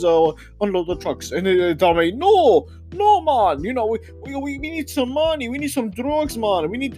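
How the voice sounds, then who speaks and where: A man's voice: extremely high pitch, darkish tone. A man voicing a stammering male warehouse supervisor with a put-on Trinidadian accent, who repeats words and ends lines with "man". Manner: stammering, touchy and indignant. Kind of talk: improvised comedy podcast character.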